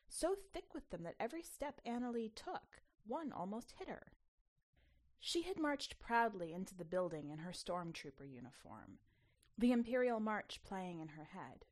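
A woman reading a short story as narrator, in an expressive scripted storytelling style. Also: mid-range voice, normal speed. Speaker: podcast host and story narrator.

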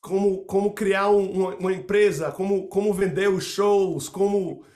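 Middle-aged brazilian guy w bahia accent, high voice, keeps restarting words on a video call. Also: fairly even intonation, normal speed, darkish tone. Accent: Brazilian Portuguese (Bahia). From Salvador, Bahia, Brazil. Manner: repeats words, many restarts. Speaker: percussionist guest. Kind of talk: casual interview answer.

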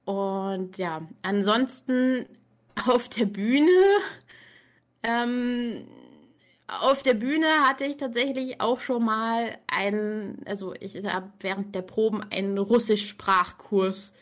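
Young woman, author and reading coach, casual livestream chat, chatty, laughs often, self-mocking, medium pitch. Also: fairly even intonation, slow. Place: warm room at home.

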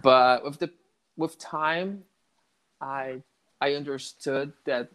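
A young man from Brazil speaks English with a Brazilian Portuguese accent, slowing and restarting as he hunts for words. His voice sits at an ordinary middle pitch, and he pads his thoughts with "you know.". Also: steady tone, fairly bright tone. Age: 20s. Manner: hesitant, many restarts and "you know" fillers. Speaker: guest, network engineer learning English. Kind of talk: casual interview.

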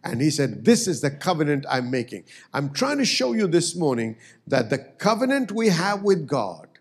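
A man preaching a sermon as pastor, emphatic and swelling on key words. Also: high voice.

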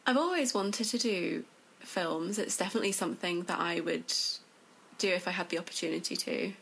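Creaky-voiced northern English woman in conversation. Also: lowish voice, brisk, fairly bright tone, rough recording. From northern England. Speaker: stage actress, interview guest. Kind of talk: casual interview answers.

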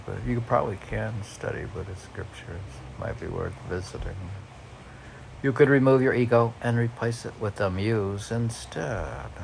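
Man, deep pitch, very slow pace, rambling read-aloud with casual commentary, faint noise behind. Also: gravelly. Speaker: podcast host reading and commenting on poems.

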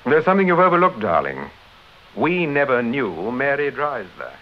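An actor playing an urbane, protective gentleman detective in a vintage British radio drama, with a Received Pronunciation accent. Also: medium pitch, very animated, normal speed, close to an everyday voice. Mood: brisk, thoughtful. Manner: suave, assured, urbane. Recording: dull old radio recording, loud background noise.

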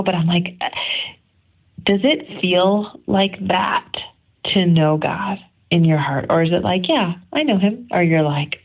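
A middle-aged woman with a low voice and a lot of creaky fry shares an informal devotional. Her delivery is earnest and conversational, with emphatic stresses. The audio is narrow and phone-like, like a voicemail. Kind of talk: informal devotional reflection on scripture.

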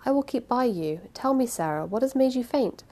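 Kindly little old uncle for an audiobook, played by a woman: gentle, coaxing, given to exclaiming. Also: on the high side, lively intonation, brisk, voice pushed up. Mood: soothing. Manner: gentle, coaxing, short repeated phrases. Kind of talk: audiobook character voice.

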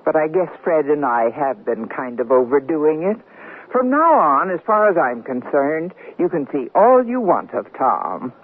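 A woman's voice: deep voice, sing-song, unhurried. A woman playing a wry, wisecracking middle-aged newlywed in a 1970s radio comedy drama. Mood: wry, conceding. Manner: dry, wisecracking. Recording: noisy, narrow-band 1970s radio recording.

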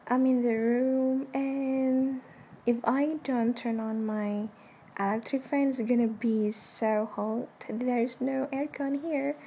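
A young Indonesian woman whose first language is Indonesian hosts her own podcast in a soft, hushed, slow way, talking casually from her bed late at night with a fan running in the room. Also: high voice, steady tone. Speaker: podcast host. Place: dark bedroom at night with an electric fan running. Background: electric fan hum.

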